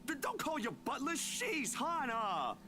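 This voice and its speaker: A man playing a bashful, easily flustered young gentleman in a visual novel, talking casually and stammering. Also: very high-pitched, huge pitch swings, unhurried, heavily acted. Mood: flustered protest. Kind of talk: visual novel character dialogue. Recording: guitar music over the voice.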